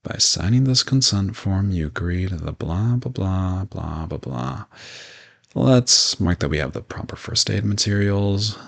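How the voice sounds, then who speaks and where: A man playing a polite, reassuring modern vampire in a roleplay audio drama, talking gently and matter-of-factly to the listener. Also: low voice, animated, a little slow, vocal fry, exaggerated voice. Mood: calm, reassuring.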